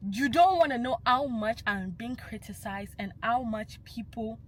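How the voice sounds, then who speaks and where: A woman's voice: fairly high pitch, lively intonation, a little slow, slight background noise. Passionate young woman. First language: Yoruba. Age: young adult. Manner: passionate and emphatic, slipping into Yoruba phrases. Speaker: vlogger. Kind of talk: casual vlog monologue.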